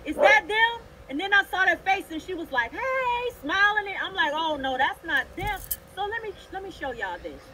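A woman's voice: very high voice, big pitch swings, moderate pace, hardly any pauses. A woman chatting brashly on a casual live stream from a car, repeating herself and swearing. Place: in a car on a city street. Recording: street noise, faint hiss.

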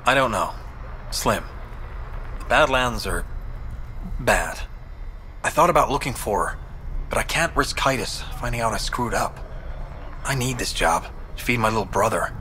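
An actor playing an anxious, guilt-ridden debt collector for a gang in a video game, speaking in a nervous, hesitant way. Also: male voice, mid-range voice, animated, moderate pace, long pauses, pushed and bright, slight background noise. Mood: guilty, worried. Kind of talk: scripted game dialogue. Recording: faint vehicle and outdoor ambience.

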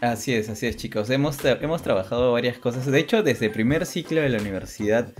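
A man's voice: mid-range voice, fast. A young man co-hosting a channel, talking in casual unscripted chat. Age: young adult. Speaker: co-host of a two-person channel. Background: background music.

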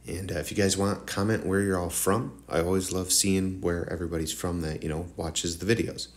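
Low-voiced man, slightly nasal. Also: fairly even intonation, fairly quick. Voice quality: slightly nasal, congested morning voice. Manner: informal, rambling, addresses viewers directly. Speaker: host of woodworking tutorial channel. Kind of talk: casual tutorial voice-over.

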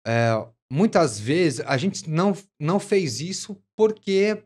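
A man in his forties, a guest on a business podcast in a studio, talking in an animated way and chiming in often with agreement. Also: a little high, slightly rough. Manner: quick agreeing interjections, animated. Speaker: guest, event founder. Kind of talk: casual interview chat. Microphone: studio mic on boom arm, headphones.

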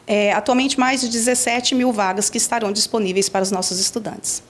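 Fortyish woman answering a reporter, highish voice, slow and careful, lots of little fillers. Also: fairly even intonation. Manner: measured, explanatory, with frequent "né" and "é" fillers. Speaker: director of a school enrollment office. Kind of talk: news interview answers. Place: indoors, in an office. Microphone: handheld reporter mic.

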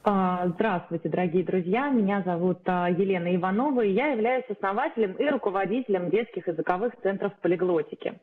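Woman pitching a business over a thin line. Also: a little fast, hardly any pauses. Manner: steady, rehearsed delivery with occasional filler sounds. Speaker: founder pitching to investors. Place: indoor room, video call. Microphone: webcam or laptop mic on a video call. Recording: narrow phone-like sound.

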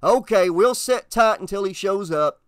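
A man voicing a hot-tempered, blustering small-town father with a gruff growl in an audiobook. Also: sits high, expressive, moderate pace, dry recording, studio quality, voice pushed up. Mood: irritated, blustering. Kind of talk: audiobook character voice.

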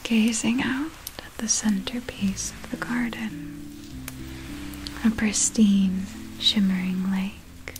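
A woman voicing a seductive, predatory vampire in a breathy ASMR whisper, telling a story with slow, lingering, deliberate phrasing. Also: medium pitch, fairly even intonation, music in the background, close to an everyday voice. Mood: hungry, entranced. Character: seductive, predatory vampire thief recounting nocturnal prowls.

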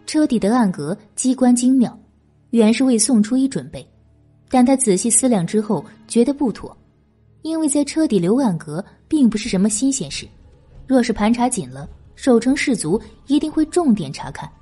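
Expressive woman narrator with a soft-edged voice. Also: on the high side, normal speed, breathy edge, soft background music. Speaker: audiobook narrator. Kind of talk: dramatic scripted narration of a historical novel.

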